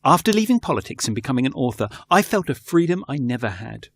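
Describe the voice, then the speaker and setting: A man's voice: medium pitch, big pitch swings, fast talker, fairly bright tone, husky, exaggerated voice. A man playing a polite, earnest former politician turned author in an audio drama, speaking in a genteel, reflective way. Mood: earnest, wistful.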